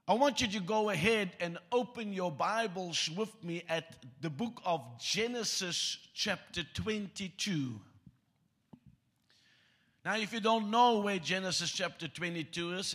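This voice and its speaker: Husky-voiced Afrikaans-accented preacher man. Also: high-pitched, a bit bright. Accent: South African Afrikaans. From South Africa. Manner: joking, self-deprecating asides. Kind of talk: sermon with scripture reading.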